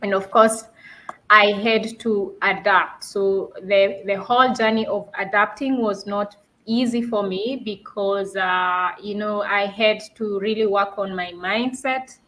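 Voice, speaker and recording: A woman's voice: medium pitch, fairly even intonation, unhurried, a bit bright, rough recording. A Kenyan woman guest on an online panel, telling her own story in an earnest, reflective way. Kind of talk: casual panel talk.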